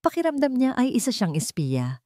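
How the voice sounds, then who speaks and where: A woman's voice: fairly high pitch, huge pitch swings, normal speed, mellow, no echo, clean studio sound. A woman with a Filipino accent reading a scripted dramatic story as its narrator.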